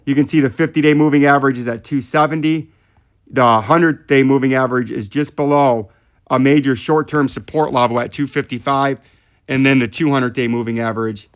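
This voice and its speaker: Male host for chart commentary, mid-pitched, explanatory, frequently tags points with a questioning check-in. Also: fairly even intonation, a little fast. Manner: explanatory, often checks in with "right?". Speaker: host of a crypto chart analysis channel. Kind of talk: live technical chart commentary.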